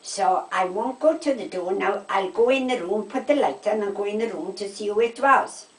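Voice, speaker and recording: An older woman with a South Wales Valleys accent, telling gossipy stories in casual, animated chat. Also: medium pitch, moderate pace. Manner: animated anecdotes, quoting conversations, checking with "right?". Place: indoors at home. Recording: echoey room, distant microphone.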